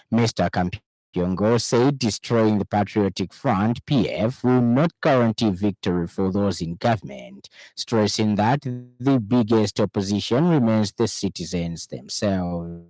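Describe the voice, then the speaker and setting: A man with a Zambian accent reading a scripted radio news bulletin in a formal, measured way. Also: fairly low pitch, a little mellow, no room sound, rough recording. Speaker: news reader. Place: radio studio.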